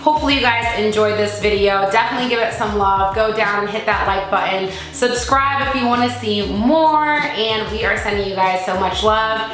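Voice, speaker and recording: A woman's voice: medium pitch, normal speed, few pauses, a bit bright. A young woman in her late twenties chatting casually and emphatically as a vlogger, peppering her talk with "like". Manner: chatty, emphatic, heavy use of "like". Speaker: vlogger, content creator. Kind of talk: casual chat Q&A to camera. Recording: very echoey room, music underneath.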